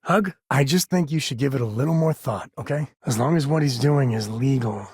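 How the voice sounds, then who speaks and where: A man's voice: medium pitch, fairly quick, darkish tone, husky, dry recording, studio quality, lightly acted. A man voicing an easygoing, folksy space ranger and father in a video game, with a wry, casual drawl. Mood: warm, musing.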